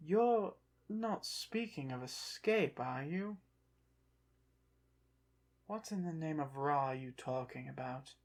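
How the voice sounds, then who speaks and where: Slow, proud revived ancient Egyptian played by an actor. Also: medium pitch. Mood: alarmed, resigned. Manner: formal, haughty, guarded, halting phrases. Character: proud, touchy ancient Egyptian revived from the dead, museum exhibit. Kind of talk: ASMR roleplay, one side of a dialogue.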